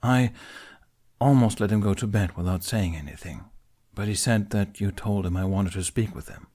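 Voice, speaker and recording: A man voicing an older male Jedi master who speaks in a measured, apologetic way. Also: fairly low pitch, mellow, lightly acted. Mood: remorseful. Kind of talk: fan audiobook reading.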